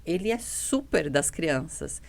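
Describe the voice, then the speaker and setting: Female podcast guest. Casual and outspoken, slightly low register with heavy fry, repeats points for emphasis. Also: lively intonation, takes it slowly. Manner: blunt, emphatic, repeats herself, quotes dialogue. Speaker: podcast guest, dental mentoring business partner. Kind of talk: casual podcast conversation, animated storytelling.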